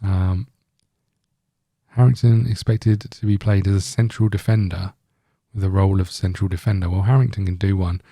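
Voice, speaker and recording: A man's voice: deep voice, steady tone, moderate pace, warm tone, no room sound, studio quality. A man hosting a gaming video, giving casual let's-play commentary in a rambling, thinking-aloud way.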